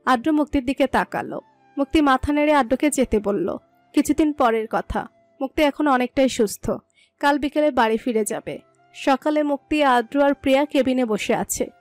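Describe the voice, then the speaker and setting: A woman's voice: sits high, moderate pace, no echo. A woman narrator reading a scripted romantic story. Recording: dry voice over soft music.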